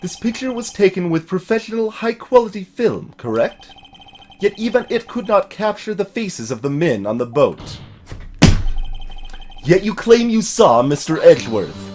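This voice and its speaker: A man's voice: high-pitched, sing-song, normal speed, a little mellow, faint music behind. Man playing an emphatic young defense lawyer. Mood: confident, pressing. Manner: emphatic, dramatic. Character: earnest young male defense lawyer, excitable and determined.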